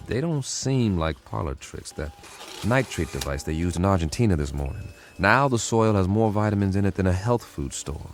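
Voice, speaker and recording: Low-voiced, dogged codebreaker. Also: male voice, expressive, moderate pace, faint music behind. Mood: earnest. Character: weary, dogged government codebreaker. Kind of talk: radio drama acting.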